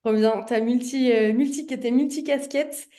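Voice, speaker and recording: A woman's voice: on the high side, measured pace, warm tone. A woman hosting a podcast, asking questions in a friendly, casual way with enthusiastic reactions. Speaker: podcast host.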